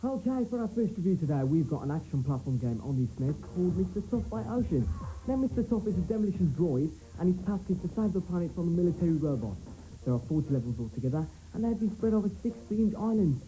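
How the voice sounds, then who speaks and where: Fast-talking games reviewer, warm and animated. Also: male voice, high-pitched, rough recording. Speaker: game reviewer. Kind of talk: comic review segment.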